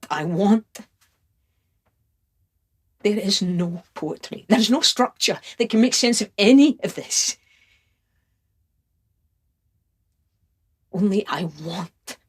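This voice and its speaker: A woman playing a tormented, lovestruck poetic suitor in an impassioned stage monologue, husky and often breathy, in short repeated phrases. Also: on the low side, slow, long pauses, darkish tone. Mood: desperate.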